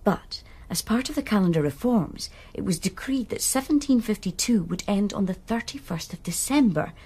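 An actress playing an enthusiastic friend who explains history in a radio drama, with a husky voice. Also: female voice, medium pitch, expressive, moderate pace, warm tone. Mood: eager. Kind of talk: BBC radio drama acting.

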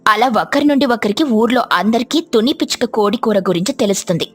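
A woman's voice: on the high side, lively intonation, quick pace, breathy edge, faint music behind. Woman narrating a children's story.

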